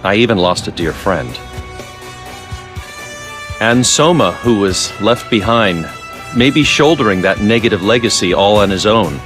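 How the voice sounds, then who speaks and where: A man's voice: on the low side, animated, measured pace, pauses often, music in the background. A man voicing a polite, wordy middle-aged research scientist in a video game cutscene, genial but evasive. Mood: regretful.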